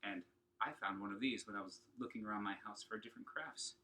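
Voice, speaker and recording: A man's voice: on the low side, fast talker, pushed and bright, poor audio. A man giving an upbeat, encouraging lesson to children, talking to them directly and asking questions as he goes. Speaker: children's singing and opera teacher. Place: at home.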